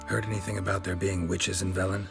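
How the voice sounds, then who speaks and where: An extremely low male voice a stoic mercenary monster hunter in a fantasy game. He talks in terse, dry, deadpan lines, understated throughout, over clearly audible music. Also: fast talker. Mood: deadpan, probing. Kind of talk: low-key video game acting.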